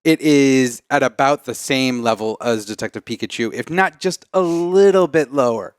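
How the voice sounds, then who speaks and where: A man's voice: medium pitch, normal speed, clear, resonant tone, no room sound, clean studio sound. A man co-hosting a video game show, chatting casually about a film in a jokey, emphatic way and often repeating himself. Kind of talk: casual film review chat.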